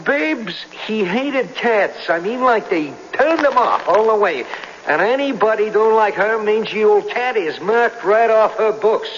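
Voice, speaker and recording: High-voiced, jittery hipster crook. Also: male voice, unhurried. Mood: accusing, gossipy. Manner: slangy beatnik talk, excitable protesting. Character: jittery young hipster small-time crook, defensive and slangy. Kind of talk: 1970s radio drama acting. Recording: dull, noisy old radio recording, reverberant hall.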